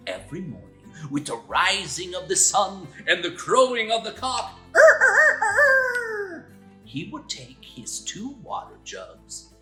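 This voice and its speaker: Wildly expressive woman storyteller, unhurried. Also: mid-range voice. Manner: theatrical, expressive, drawn-out phrasing with gasps and chuckles. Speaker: children's storyteller. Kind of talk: animated storybook narration for young children.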